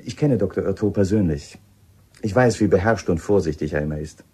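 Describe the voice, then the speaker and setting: A man's voice: low-pitched, a little fast, darkish tone, slightly rough. A man acting a shrewd, polite police inspector in a radio drama, speaking in a probing, matter-of-fact, slightly hesitant way. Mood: probing, firm.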